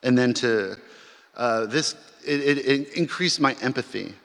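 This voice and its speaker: A man's voice: mid-range voice, unhurried, creaky, a bit bright. A man speaking to a symposium audience, telling a personal story in a conversational way with frequent restarts and fillers. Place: large chapel. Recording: long hall reverb.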